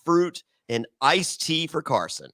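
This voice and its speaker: A man with a bright, lively voice and an animated pitch that jumps around as he jokes through a casual recap. He laughs often and fills his pauses with uhs. The sound is dry and close to the mic. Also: no room sound. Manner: playful, laughs often, many filler uhs. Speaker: podcast host. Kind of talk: casual joking recap chat.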